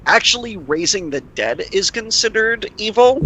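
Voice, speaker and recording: A man in casual conversation during a tabletop roleplaying game, with a husky, sharp-edged voice. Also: high-pitched, lively intonation, moderate pace, a little hiss or hum, low-quality recording.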